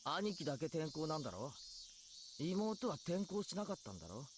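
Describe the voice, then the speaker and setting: A man's voice: a little high, big pitch swings, fast, noisy background. An actor voicing a tense, irritable teenage boy in a game, talking curtly and defensively. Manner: curt and defensive. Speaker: game character.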